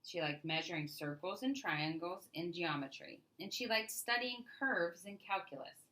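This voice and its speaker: A woman reading a children's picture book aloud as a storyteller, with expressive, emphatic delivery and deliberate phrasing. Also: lowish voice.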